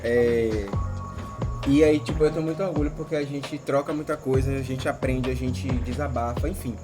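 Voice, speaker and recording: A man's voice: medium pitch, steady tone, moderate pace, over music. A man, introducing himself on a podcast in a playful, joking way. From Brazil. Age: 20s. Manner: playful, joking, laughs often. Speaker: podcast co-host. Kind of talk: casual chat among friends.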